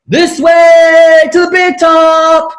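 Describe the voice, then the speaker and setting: A man's voice: very high-pitched, completely flat delivery, very slow, fairly bright tone, exaggerated voice. A man playing a showy circus barker in a play reading, calling out patter to usher folks along. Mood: brisk, rousing. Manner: projecting, sing-out vendor patter. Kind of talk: stage acting in a play reading. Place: indoors on a video call.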